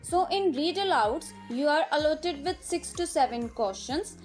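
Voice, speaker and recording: A woman's voice: high-pitched, lively intonation, measured pace, soft background music. A woman giving a scripted exam lesson in an instructional, step-by-step manner.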